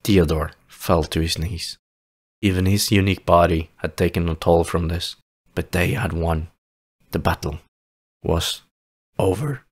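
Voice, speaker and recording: A man narrating a fantasy audio play in a theatrical, solemn storytelling manner with deliberate, dramatic phrasing. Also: deep voice, steady tone.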